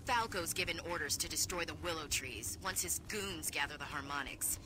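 Lady playing urgent game ally lady, brisk briefing, lowish voice, noisy ambience behind. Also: close to an everyday voice. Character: urgent human woman ally giving mission warnings. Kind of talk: video game dialogue acting.

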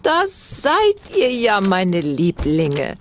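A woman's voice: mid-range voice, huge pitch swings, drawn-out words, slight background noise, pitched above the performer's own voice, exaggerated voice. A woman voicing a cheerful, doting grandmother in her seventies, playful and affectionate, in a children's audio story. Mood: delighted greeting.

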